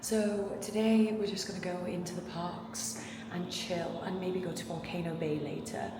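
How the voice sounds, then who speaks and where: A woman chatting to camera in a travel vlog, her voice hoarse and worn out, sometimes dropping to a hush. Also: fairly low pitch, normal speed, background noise. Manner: chatty, excitable, talking in a hush at times. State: hoarse, tired voice. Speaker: vlogger.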